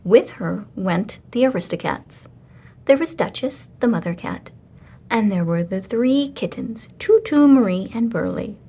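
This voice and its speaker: A woman reading a children's story aloud as an expressive storyteller. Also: medium pitch, measured pace, breathy edge.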